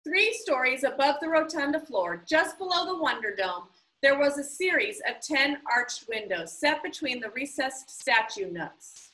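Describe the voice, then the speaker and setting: A woman reading a children's novel aloud, animated and voicing each character as she goes. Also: roomy sound, rough recording. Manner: animated, voices the characters with big pitch jumps. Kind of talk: expressive read-aloud storytelling.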